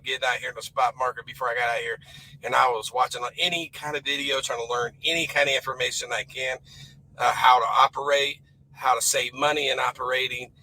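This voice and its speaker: A man who drives his own truck, talking casually about fuel discounts, his voice hoarse and raspy from a cold, rambling in a folksy, emphatic way. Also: medium pitch, sharp tone, slight background noise, rough recording. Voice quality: rough and raspy. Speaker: owner-operator truck driver. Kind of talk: casual vlog talk to camera.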